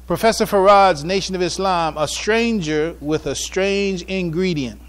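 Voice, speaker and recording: A man with an American accent speaks in a notably high register. He delivers a prepared lecture in formal, deliberate oratory, leaning firmly on key phrases. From United States. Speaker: speaker giving a public address. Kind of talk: prepared religious and historical lecture read from notes.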